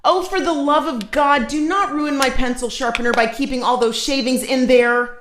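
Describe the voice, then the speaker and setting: A woman's voice: high voice, moderate pace, a bit bright, a little hiss or hum. A woman co-hosting a casual live stream, reading out viewer comments and bantering bluntly with her co-host. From United States.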